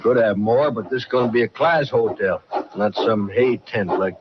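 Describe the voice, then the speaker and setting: An actor playing a proud, combative older rancher in a 1950s radio Western, boastful, folksy and quick to take offense. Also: male voice, lowish voice, close to an everyday voice. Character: proud, combative aging rancher turned hotel builder. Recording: noisy, dull old radio recording.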